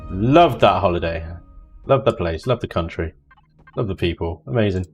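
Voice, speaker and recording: A man's voice: low voice, animated, moderate pace, breathy edge, lo-fi sound, soft background music. A man hosting a livestream, chatting casually and jokily while playing a game. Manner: chatty, jokey, drifts into mock voices and laughter. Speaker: livestream host. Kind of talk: casual chat while gaming.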